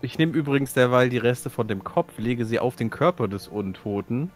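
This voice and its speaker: A man's voice: mid-range voice, expressive, normal speed, faint music behind. A man chatting casually as a player in a roleplaying game, blunt and flippant in the way he talks.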